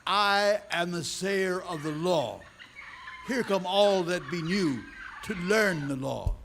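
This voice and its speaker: Slow, high, bright-voiced beast-man priest. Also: gravelly, full character voice. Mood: solemn, menacing. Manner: slow ritual chanting, grandiose, declamatory. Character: solemn, commanding beast-man priest who chants the law. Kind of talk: live stage radio drama. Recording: live hall recording, faint noise.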